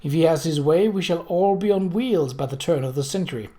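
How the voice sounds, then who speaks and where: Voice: medium pitch, brisk, dark tone, close to an everyday voice. A storybook reader voicing a polite, forthright young woman with a formal, slightly mischievous manner. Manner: formal, proper, slightly stiff.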